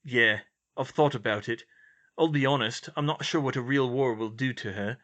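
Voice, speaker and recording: A man voicing a kind, steady teenage boy in a story, earnest and gently reassuring. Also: mid-range voice, normal speed, close to an everyday voice. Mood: troubled, sincere.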